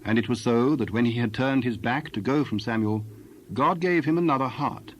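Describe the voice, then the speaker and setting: A man narrating dramatized scripture in a formal, measured storytelling style. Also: medium pitch, lo-fi sound, a little hiss or hum.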